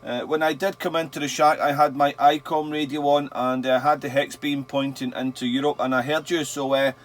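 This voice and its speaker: A man's voice: a little high, fairly even intonation, a little fast. A man chatting casually as a radio operator, friendly, repeating phrases and spelling words out in phonetic alphabet. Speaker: amateur radio operator hosting a channel. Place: small indoor radio shack.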